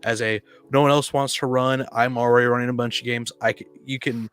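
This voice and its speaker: A man's voice: medium pitch, level tone, a little fast, a bit bright, clean tone. A man in a casual chat, opinionated, often stammering as he restarts his sentences. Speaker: podcast co-speaker, experienced game master.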